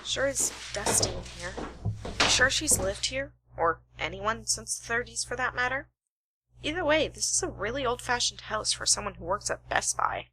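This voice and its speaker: A woman's voice: fairly high pitch, expressive, moderate pace, sharp tone, a little hiss or hum, poor audio. A woman playing a keen, analytical amateur detective in an amateur radio play. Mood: curious.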